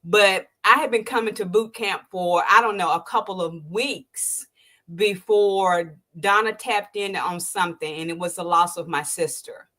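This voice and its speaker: A woman in her sixties hosting an interview, telling a personal story with feeling, acting out quotes in bursts and dropping soft when moved. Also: a little slow. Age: 60s. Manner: animated storytelling, acts out quotes with sharp jumps in pitch; drops to a soft, low voice when emotional. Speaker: podcast host. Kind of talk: emotional interview testimony.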